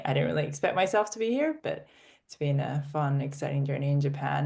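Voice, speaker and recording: A woman in her twenties with a mixed international English accent, a startup founder telling her story as a podcast guest in a fluent, steady way. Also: low-pitched, vocal fry. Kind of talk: conversational interview answers.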